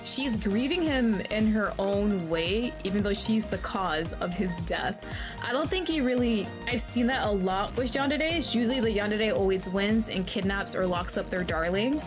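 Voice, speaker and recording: Woman reacting casually over clear music. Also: mid-range voice, expressive, moderate pace. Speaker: YouTuber playing a visual novel. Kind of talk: casual let's-play reaction commentary.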